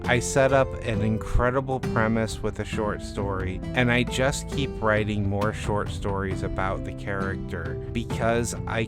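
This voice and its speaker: A man reading scripted first-person narration as the author and narrator of a short story. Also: on the low side.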